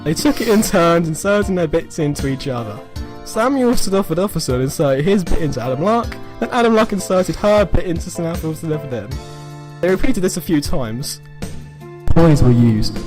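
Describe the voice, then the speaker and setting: A man's voice: a little high, sing-song, normal speed, over music. A man reading an amateur story aloud as a comic dramatic reading, mock-serious, drawing out phrases and stumbling over words. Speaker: reader of amateur fan fiction.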